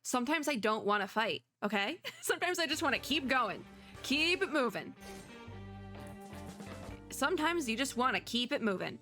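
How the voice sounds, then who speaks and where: A woman's voice: high voice, animated, moderate pace, long pauses, over music. A young woman doing casual live reaction commentary as a streamer, chatty, enthusiastic and informal. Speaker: streamer reacting to game trailers.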